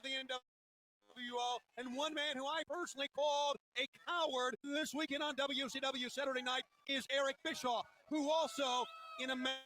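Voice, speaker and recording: A man's voice: extremely high pitch, steady tone, moderate pace, sharp tone, dry recording, poor audio. A man delivering an intense, accusing wrestling promo.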